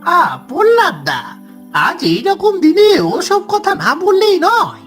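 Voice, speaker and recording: A woman voicing an anxious, superstitious young villager in an audio horror story, fretful and drawn-out. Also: very high voice, huge pitch swings, a bit bright, soft background music, full character voice. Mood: uneasy, scolding.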